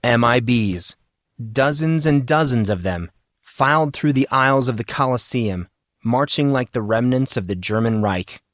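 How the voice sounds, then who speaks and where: A man narrates a story in a dramatic, scripted style. His pitch is middling for a man. The recording is dry and close, with very little room sound. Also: animated, moderate pace, fairly bright tone, no room sound. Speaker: audiobook narrator.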